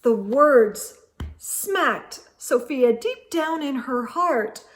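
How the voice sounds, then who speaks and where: A woman with a high voice reads a rhyming picture book for young children. Her storytelling is animated and expressive, and she takes her time over the lines. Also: extremely slow. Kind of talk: expressive picture-book read-aloud in rhyme.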